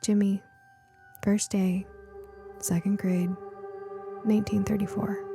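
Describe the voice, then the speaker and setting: Mellow woman narrator with creaky edges. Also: medium pitch, flat delivery, takes it slowly, faint music behind. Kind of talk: first-person audiobook narration.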